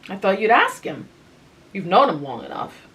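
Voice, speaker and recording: A woman's voice: mid-range voice, huge pitch swings, normal speed, a bit bright, recorded from a distance, low-quality recording. A woman voicing a blunt, careless young woman who speaks in a teasing, matter-of-fact way. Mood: offhand.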